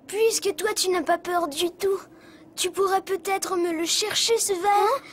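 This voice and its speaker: Very high-pitched timid little boy. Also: a little slow, slight background noise. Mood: pleading, teasing. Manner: whiny, wheedling. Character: timid, pleading little boy afraid of the dark. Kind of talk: cartoon voice acting. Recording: loud music behind the voice.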